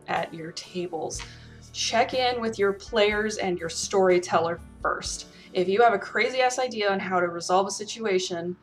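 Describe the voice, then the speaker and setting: A woman with a slightly low voice speaks in an earnest, emphatic way. She reflects casually on gaming experiences and gives advice, with music playing behind her. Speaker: role-player and storyteller giving advice.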